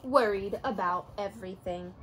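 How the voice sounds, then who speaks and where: A young adult woman reading a children's picture book aloud, with expressive storytelling. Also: mid-range voice, moderate pace, a little hiss or hum.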